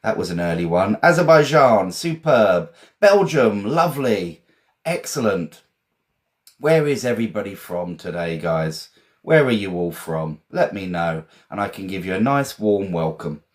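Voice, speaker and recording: A man's voice: deep voice, very animated, a little slow. A man from England with an English accent, teaching a casual live vocabulary lesson in a chatty, encouraging, informal way and often repeating phrases.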